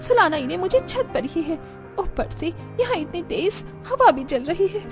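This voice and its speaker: A woman's voice: extremely high pitch, big pitch swings, moderate pace, over music. A woman voicing young village daughters-in-law, chatty and complaining, in a Hindi cartoon story. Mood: grumbling.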